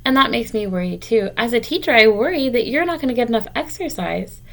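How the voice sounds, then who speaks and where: Female teacher, higher-pitched, reading a storybook to small kids with simple, encouraging asides. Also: animated, fast talker, fairly bright tone. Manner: simple, encouraging explanations addressed directly to children. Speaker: teacher reading a picture book aloud to children. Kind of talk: read-aloud lesson for young children, with explanatory asides.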